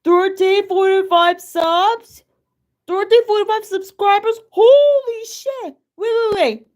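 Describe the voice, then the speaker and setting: A woman from Turkey with a Turkish accent, whose first language is Turkish, chatting casually on a live stream, excitable and greeting viewers by name. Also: very high voice, takes it slowly.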